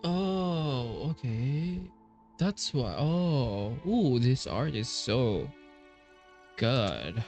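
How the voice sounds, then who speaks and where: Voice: mid-range voice, very animated, drawn-out words, darkish tone, soft background music. A game streamer giving casual playthrough commentary, hesitant and musing aloud.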